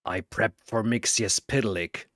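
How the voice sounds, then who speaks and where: A narrator voicing a grim, stoic masked vigilante man who gives terse, flat retorts. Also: medium pitch, extremely slow, dry recording, clean studio sound, pitched below the performer's own voice. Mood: cold, controlled.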